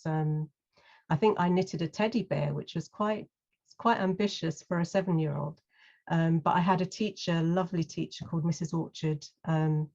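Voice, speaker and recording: Low-voiced British woman, lo-fi. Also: a little mellow. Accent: British English. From UK, London. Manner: chatty, many ums and restarts. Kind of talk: casual interview chat.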